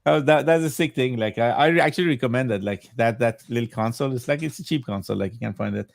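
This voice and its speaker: Guy w farsi as his first language chatting on a video call, gravelly, dry sound, lots of likes n restarts. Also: mid-range voice, dry recording. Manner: halting, many fillers and restarts. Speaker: guest. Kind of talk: casual video-call chat.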